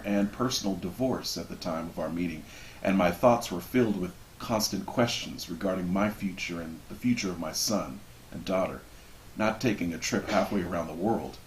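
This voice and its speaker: Deep voiced guy reading a book out loud, measured and expressive, bit of noise behind him. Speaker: reader of a book introduction. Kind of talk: expressive literary reading aloud. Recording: roomy echo with background noise.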